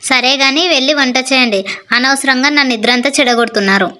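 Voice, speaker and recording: Woman doing a lazy sleepy young wife, whiny, bossy, highish voice, village story. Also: quick pace, sharp tone. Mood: whiny, irritated. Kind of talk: acted Telugu moral story dialogue.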